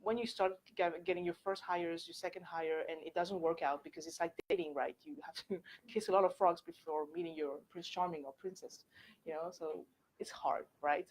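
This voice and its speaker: A woman's voice: on the low side, steady tone, moderate pace, poor audio. A young adult woman from France with a French accent, giving a candid, informal talk to students, full of "like" and "actually". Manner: chatty, candid, filler-heavy with frequent "like" and "actually". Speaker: workshop speaker. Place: university lecture room.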